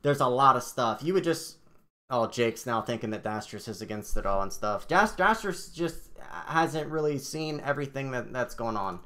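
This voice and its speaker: A man's voice: medium pitch, lively intonation, moderate pace, pushed and bright. A man hosting a live game stream, talking loosely with lots of fillers. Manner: loose, laid-back, full of fillers like "like" and "you know". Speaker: stream host. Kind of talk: casual live stream chat.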